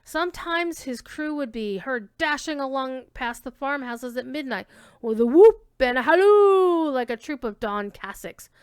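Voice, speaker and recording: Lady doing a dramatic story reading, smooth clear voice, dry close sound, leans into key words. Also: high-pitched, expressive, measured pace, no room sound. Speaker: reader giving a dramatic reading of a classic tale. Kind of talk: dramatic literary narration, reading aloud.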